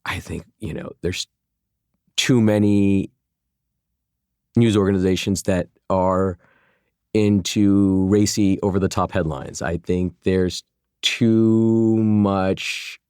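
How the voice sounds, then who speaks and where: A man in his forties from Chicago, speaking as a guest in a relaxed interview. His voice sits very low, and he works through his thoughts with restarts and little fillers. The recording is close and dry, with no room sound. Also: takes it slowly, pauses often, smooth, no echo. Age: 40s. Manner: thoughtful, many restarts and "you know" fillers. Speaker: guest, former journalist turned food entrepreneur. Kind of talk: casual interview conversation.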